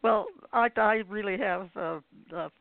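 An older woman hosting a casual radio interview, asking questions in a halting way and repeating words, with a creaky voice. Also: medium pitch, dry recording. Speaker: radio show host. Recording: lo-fi phone-like internet radio line.

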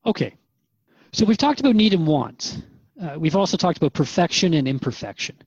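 A man's voice: a little high, very animated, brisk, a little mellow, breathy edge, dry recording, lo-fi sound, exaggerated voice. A man playing a curious human radio interviewer, informal and slightly bemused, in a conversational drama. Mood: puzzled, curious.

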